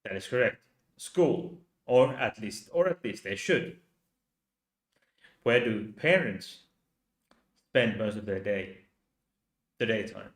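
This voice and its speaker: Slow, halting Finnish man. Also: lowish voice, animated, reverberant. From Finland. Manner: slow, halting, rhetorical questions. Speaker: channel host. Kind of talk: livestream commentary reading chat.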